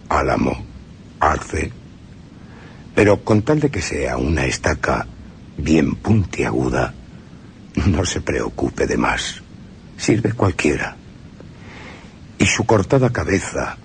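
Slow gravelly deep Spanish male reader. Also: a little hiss or hum. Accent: Spain Spanish. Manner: slow, ominous, dropping to whispers. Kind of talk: dramatic radio reading.

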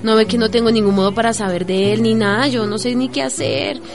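A woman's voice: fairly high pitch, fairly quick, creaky, over music. A woman playing an anxious, affectionate young Colombian migrant at a market stall in a radio drama, speaking colloquially with a Colombian accent. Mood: worried. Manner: expressive, colloquial, sighing exclamations.

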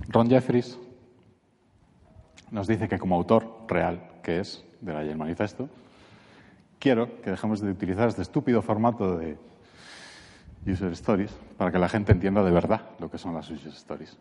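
A man with a Spanish accent from Catalonia speaks at a conference, his low voice ringing through a large hall. He talks in an animated way and slips into mocking voices when he quotes people. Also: normal speed, long pauses. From Barcelona. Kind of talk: conference talk.

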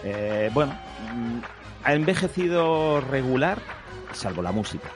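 A man's voice: medium pitch, sing-song, measured pace, music in the background. A man talking casually about a classic film, eager to share trivia and anecdotes.

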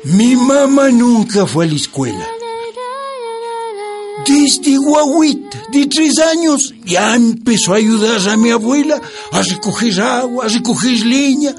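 Slow Andean peasant narrator, high-voiced. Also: male voice, lively intonation. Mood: reflective. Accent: Ecuadorian Andean. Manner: solemn storytelling. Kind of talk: scripted radio drama narration. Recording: music bed under the voice.

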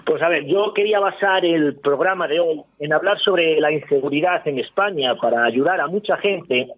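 A man's voice: fairly high pitch, moderate pace. A man in his thirties from Spain, co-hosting a talk radio discussion and making his points with personal examples. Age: 30s. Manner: earnest, illustrates with personal examples. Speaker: co-host. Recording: tinny phone line.

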